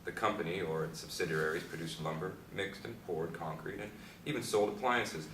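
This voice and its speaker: Echoey, distant, low-voiced aging Beat architect played by a man. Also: lightly acted. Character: aging male Beat architect, reflective, pedantic storyteller. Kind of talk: stage play scene reading. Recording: echoey, noisy room from far off.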